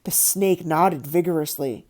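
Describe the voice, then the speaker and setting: A woman narrating a children's novel aloud in an expressive storybook style. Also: low voice, a little slow. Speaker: audiobook narrator.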